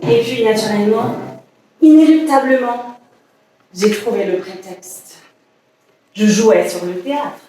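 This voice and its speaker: A woman's voice: on the high side, warm tone, close to an everyday voice. Distant, echoing actress as an anguished, lovesick woman. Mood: nostalgic, intense. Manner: dramatic, halting, incantatory delivery with repetitions. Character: lovesick woman consumed by dangerous obsession, anguished, insistent. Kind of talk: theatrical staged reading, poetic monologue. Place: staged reading in a reverberant indoor space. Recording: very echoey room, far from mic.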